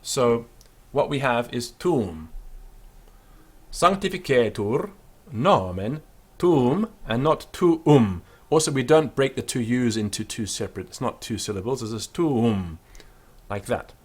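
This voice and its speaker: A man giving an unscripted pronunciation lesson, explaining with fillers and then slowly sounding out words to demonstrate them. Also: medium pitch, lively intonation, husky. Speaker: Latin teacher.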